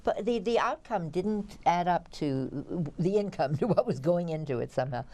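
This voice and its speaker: Female theatre critic, low register, opinionated and wry, conversational review banter. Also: expressive, moderate pace, dark tone.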